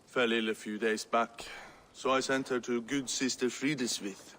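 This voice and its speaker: Gruff, coarse medieval craftsman voiced by a man, reverberant. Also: medium pitch, fairly even intonation, moderate pace, sharp tone, slight background noise, natural voice. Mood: coarse, boastful. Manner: blunt, coarse. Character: gruff, foul-mouthed male medieval craftsman, menacing and scornful. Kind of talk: video game cutscene acting. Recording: long hollow reverb.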